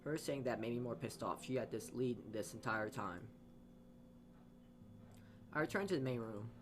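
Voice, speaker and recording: A man's voice: medium pitch, expressive, normal speed, background noise. A man reading a visual novel's narration aloud as a let's-player, often stumbling and rereading phrases.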